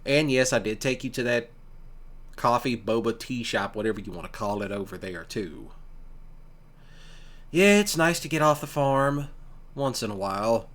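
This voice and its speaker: A man's voice: mid-range voice, expressive, a bit bright, natural voice. Man playing easygoing, affectionate country boyfriend. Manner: casual, teasing, folksy, with pauses. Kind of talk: ASMR boyfriend roleplay, one-sided conversation.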